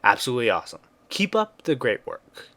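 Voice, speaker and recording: A man reading a scripted video game review as narration, with a mid-pitched voice. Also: big pitch swings, fairly quick, sharp tone.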